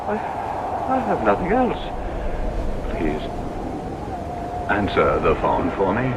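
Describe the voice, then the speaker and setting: A man playing a wealthy, obsessive, paranoid businessman crumbling into panic, halting and repeating himself in radio drama style. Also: lowish voice, huge pitch swings, heavy background noise, full character voice. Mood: weak, desperate. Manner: melodramatic, halting, repetitive. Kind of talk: old-time radio drama acting. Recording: radio drama with loud music.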